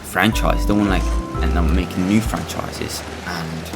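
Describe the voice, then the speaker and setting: A man giving casual, rambling and halting gameplay commentary, blunt and sweary as host of a gaming video. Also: sits low, fairly even intonation, gravelly, loud music behind the voice.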